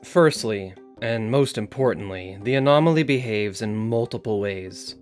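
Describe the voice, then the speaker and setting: A man's voice: fairly low pitch, moderate pace, faint music behind, close to an everyday voice. Casting: male actor as defiant site director for audio drama. Formal, insistent, sardonic edge. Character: defiant male research site director, arguing his case. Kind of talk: scripted audio drama interview.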